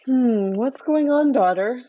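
A woman voicing a king who gently and sternly questions his daughter. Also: fairly high pitch, expressive, dull audio, pitched above the performer's own voice. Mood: probing. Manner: slow, probing, gently stern. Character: calm questioning king father.

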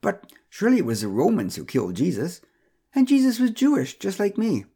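Man playing a bewildered polite neighbor, hesitant, husky, pitch rises on questions. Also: wildly swooping pitch, brisk, warm tone, exaggerated voice. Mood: puzzled. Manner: hesitant, uncertain.

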